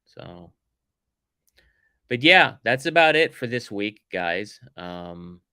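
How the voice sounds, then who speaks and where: A man hosting a casual sci-fi review podcast, talking loudly and upbeat, projecting his voice. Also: on the low side, sing-song, takes it slowly, clean tone, no echo, studio quality. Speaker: podcast host.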